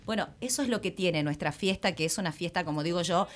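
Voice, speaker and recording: A woman's voice: on the low side, fast. A woman with an Argentine accent, a municipal press director, speaking as a radio guest in an enthusiastic, fluent, promotional way. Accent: Argentine Spanish.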